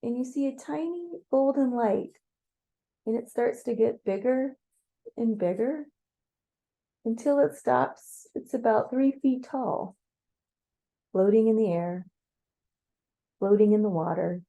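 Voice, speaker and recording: A woman narrating a guided meditation as a story in the second person, conversational and playful, with gentle pauses. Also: fairly high pitch, vocal fry, poor audio. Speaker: storyteller leading a guided visualization.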